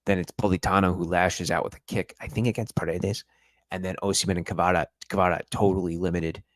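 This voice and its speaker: A man's voice: deep voice, level tone, fairly quick, no room sound. A man hosting a live-stream football review, talking viewers through the match in a chatty, analytical way. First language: English. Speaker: football podcast host.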